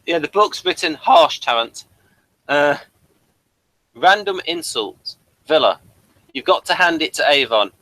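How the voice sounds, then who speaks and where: A man's voice: on the high side, expressive, measured pace, long pauses, pushed and bright, dry recording, rough recording. A man hosting a casual review of a TV series, reading from notes with dry, playful asides.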